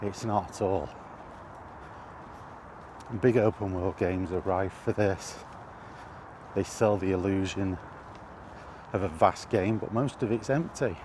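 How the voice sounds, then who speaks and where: A British man giving rambling, casual commentary while walking outdoors, halting and thinking aloud as he goes. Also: deep voice. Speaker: video host. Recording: outdoor ambient noise throughout.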